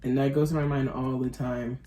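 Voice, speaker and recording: A woman's voice: a bass voice, little pitch movement, fairly quick, mellow, soft background music. A nineteen-year-old woman vlogger chatting casually while her makeup is done, rambling and giggly with lots of fillers. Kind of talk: casual chat.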